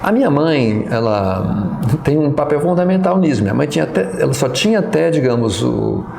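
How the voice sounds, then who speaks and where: A man's voice: a little high, very animated, normal speed, gravelly, darkish tone, reverberant, slight background noise. A middle-aged man with a Brazilian Portuguese accent, a pastor and professor recalling his past in an interview, talking hesitantly with many fillers and restarts. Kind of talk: reminiscing interview.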